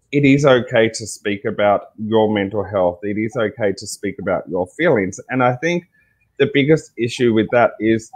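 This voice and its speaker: A man's voice: mid-range voice, low-quality recording. A man with an Australian accent speaking earnestly as an interview guest, repeating key phrases for emphasis. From Tasmania, Australia. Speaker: interview guest, mental health advocate.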